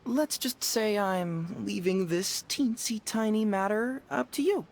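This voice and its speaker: A man voicing a clever young detective in a video game, smooth and playful with some quiet self-doubt, phrasing his words with care. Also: high voice, unhurried. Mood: confiding, coy.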